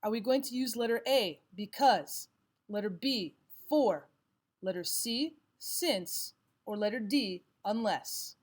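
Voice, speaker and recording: A woman narrating a scripted lesson as a teacher, reading items aloud in a measured, instructional way. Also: mid-range voice, expressive. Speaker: English grammar teacher.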